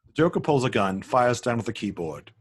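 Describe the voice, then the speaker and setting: A man's voice: mid-range voice, very animated, brisk, breathy edge. A man narrating a screenplay at a table read, reading out scene headings and action as written. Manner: stumbles and corrects himself while reading. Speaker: narrator reading stage directions.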